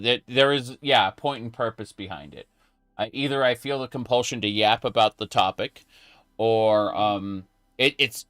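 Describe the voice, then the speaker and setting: A man talks casually during a late-night game stream, pausing often and restarting his sentences. His voice sits low but sounds bright and clean. The sound is dry and close, with no room echo. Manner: rambling, many fillers and restarts. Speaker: streamer and video creator. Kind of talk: casual late-night chat while gaming.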